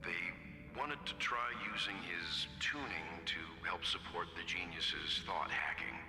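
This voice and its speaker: Grave, caring male crewmate: very low, halting game dialogue in long reverb. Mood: matter-of-fact. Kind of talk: video game voice acting. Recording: echoey long reverb, music behind.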